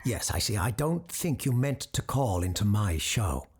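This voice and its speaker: A woman playing a grave supernatural radio host and storyteller, speaking in a measured, solemn, deliberate way in a scripted audio drama. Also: extremely low pitch, big pitch swings, a little mellow, slightly rough, full character voice. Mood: composed, formal. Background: music under the voice.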